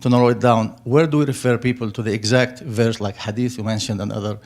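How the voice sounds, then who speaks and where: A man's voice: medium pitch, fairly even intonation, quick pace, a little mellow. A man whose first language is Arabic, speaking with an Arabic accent, asking a long, earnest question from the audience and rephrasing as he goes. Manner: earnest, wordy, circling back to rephrase.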